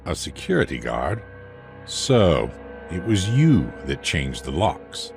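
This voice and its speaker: A man's voice: rumbling low, sing-song, slow pace, vocal fry, a little mellow, soft background music, exaggerated voice. A man playing a bitter, pious older artist and former teacher in a brooding, theatrical dramatic monologue. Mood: bitter, defiant.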